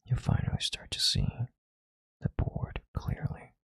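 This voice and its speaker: A man playing a calm, controlling, arrogant chief executive in an intimate audio roleplay, with slow, deliberate, condescending authority and a hushed delivery. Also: dry recording, studio quality, natural voice. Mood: smug, soothing. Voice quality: deep, gravelly, breathy half-whisper. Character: calm, controlling, arrogant CEO soothing his analyst.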